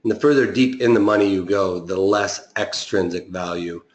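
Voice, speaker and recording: A man with a raspy voice explaining trading strategy in a live online lesson, often pausing with fillers and restarting his sentences. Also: low voice, reverberant. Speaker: options trading instructor.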